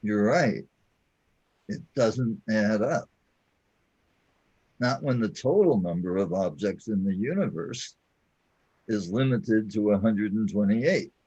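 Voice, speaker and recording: A man acting a weary, matter-of-fact companion in a sci-fi audio drama, speaking in a deliberate, explanatory way. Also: lowish voice, animated, dark tone, husky, dull audio, exaggerated voice. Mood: patient, explaining.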